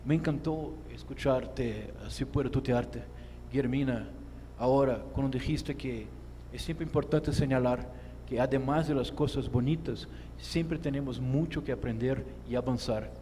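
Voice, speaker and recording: A man with a Brazilian Portuguese accent, a native Portuguese speaker, reads a formal academic lecture in deliberate, measured phrases. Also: mid-range voice, mellow. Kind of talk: formal lecture read from a text. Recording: echoey hall with clear background noise.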